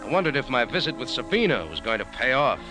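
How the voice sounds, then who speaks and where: Casting: male actor as cool American radio detective, mid-pitched, dry laconic delivery, clear musical underscore. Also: fast talker, over music, close to an everyday voice, low-quality recording. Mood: cool, narrating. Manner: laconic, hard-boiled, deadpan. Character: cool, wry American café owner turned sleuth. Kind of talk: 1940s radio drama narration and dialogue.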